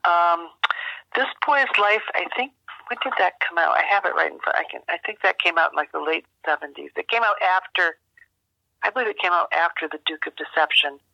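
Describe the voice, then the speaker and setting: An older American woman giving a reflective interview over a landline phone, speaking in a halting, thoughtful way as a guest. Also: fairly low pitch, creaky, sharp tone, no room sound. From rural United States. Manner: halting, thoughtful, with long searching pauses. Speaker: interview guest, author and memoir teacher. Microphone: landline telephone. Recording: dull, narrow landline phone sound.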